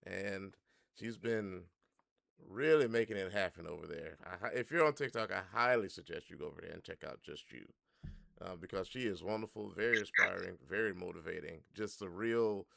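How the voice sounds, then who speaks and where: A man's voice: sits low, normal speed, gravelly, a bit bright, no room sound, clean studio sound. A man hosting a podcast, chatting casually and playfully with his guest, often laughing. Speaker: podcast host. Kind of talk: casual interview chat.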